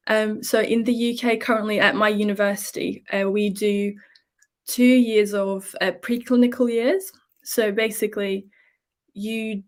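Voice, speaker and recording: A woman's voice: mid-range voice, gravelly. A woman in her twenties from Turkey, a medical student explaining her path in a casual interview with many fillers.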